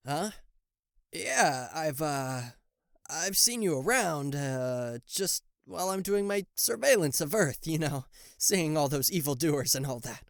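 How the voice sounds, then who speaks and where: A man playing a cocky, playful and easily flustered king of hell as an affectionate boyfriend in an ASMR roleplay, with theatrical teasing pauses and stammers. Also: on the high side, animated, vocal fry, dry recording, clean studio sound. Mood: gentle, attentive. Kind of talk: ASMR roleplay addressed to the listener.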